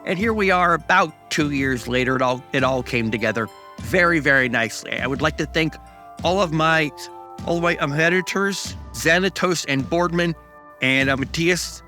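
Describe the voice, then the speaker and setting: A man speaking informally as an audio drama's creator, halting and repeating phrases. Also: on the high side, bright, forceful tone, soft background music. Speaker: producer and writer of the audio drama. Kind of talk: informal thank-you message to listeners.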